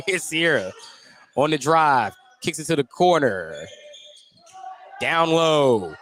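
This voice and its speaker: Guy doing play by play for hoops on radio, voice a bit high, chatty and jokey, noisy gym behind him. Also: lively intonation, slow pace, fairly bright tone. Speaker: play-by-play sports commentator. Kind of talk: live basketball radio commentary. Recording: clear background noise, live gym broadcast.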